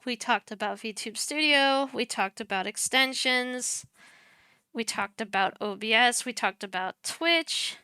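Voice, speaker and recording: Soft-spoken young woman on a headset. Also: a little high, animated, unhurried, clean tone, no echo. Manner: chatty, self-deprecating, mutters while clicking. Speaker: streamer teaching streaming setup. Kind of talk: casual screen-share tutorial.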